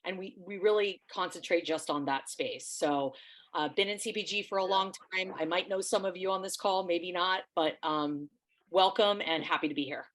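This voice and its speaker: A woman with a fairly low voice introduces herself on a video call. She is friendly and relaxed, a little hesitant at times. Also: moderate pace. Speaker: agency founder, panelist.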